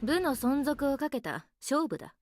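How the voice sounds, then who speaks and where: A woman's voice: high voice, big pitch swings, fast talker. An actress playing a blunt, authoritative woman teacher in a Japanese visual novel. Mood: challenging. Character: brisk, blunt woman schoolteacher laying down a challenge. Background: background music.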